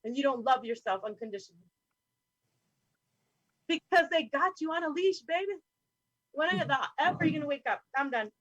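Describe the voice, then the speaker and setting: A woman with a high voice delivers a fired-up, swearing, rambling rant as a roundtable guest. Her audio comes through a dull, lo-fi remote call with a dry, close sound. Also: lively intonation, normal speed, pauses often, a bit bright, dry recording. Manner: impassioned, profane, rambling rant. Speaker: podcast guest. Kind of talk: roundtable discussion.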